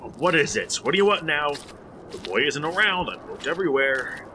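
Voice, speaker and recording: A man's voice: a little high, sing-song, fairly quick, background noise. A man playing an irritable, scolding middle-aged man obsessed with his plants, in 1940s radio drama style. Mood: blustering, exasperated. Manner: scolding, impatient, sputtering.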